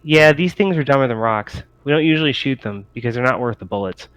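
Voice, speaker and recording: A man voicing a casual, cocky young male scavenger in a tabletop game, rough-spoken and matter-of-fact. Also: medium pitch, expressive, quick pace, a bit bright, natural voice, rough recording. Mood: amused, dismissive. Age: young adult.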